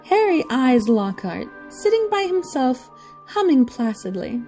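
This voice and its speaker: A woman's voice: high voice, sing-song, unhurried, warm tone, soft background music. A woman reading scripted story narration for an audio drama.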